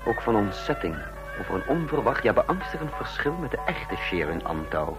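Uneasy secret agent, musing. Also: male voice, lowish voice, very animated, moderate pace, over music. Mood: tense confession. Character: uneasy male secret agent impostor, narrating his story. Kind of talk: Dutch radio drama acting and first-person narration.

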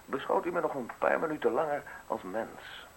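An actor in a radio play playing a polite, insinuating state official with a formal, measured way of speaking. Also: male voice, a little high, animated, vocal fry. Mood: courteous, insinuating. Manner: formal, measured, courteous, deliberate phrasing. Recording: hissy, noisy old radio recording.

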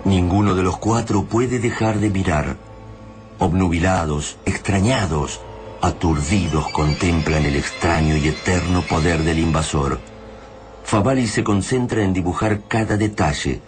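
A man with an Argentine accent narrating a science fiction radio drama in a solemn, measured, dramatic way. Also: deep voice. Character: solemn male narrator of an alien invasion tale. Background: music.

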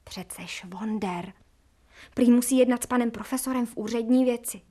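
A woman's voice: on the high side, dark tone, lightly acted. A woman playing an outspoken, gossipy young housemaid in a radio drama. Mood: anxious, gossipy.

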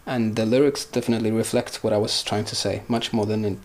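A man's voice: lowish voice, fairly even intonation, fast talker. Reflective man, Swedish native speaker. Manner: reflective, searching for words. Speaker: musician guest. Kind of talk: casual interview answers.

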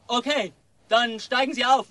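A man's voice: extremely high pitch, fairly even intonation, moderate pace, bright, forceful tone, a little hiss or hum. A man playing a curt English soldier in a radio drama, giving commands with an English accent. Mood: curt, commanding.

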